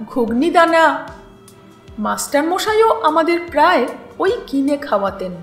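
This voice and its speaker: A woman reads a literary story aloud in an expressive, deliberate narrating style. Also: high voice. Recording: roomy echo with music behind. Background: music.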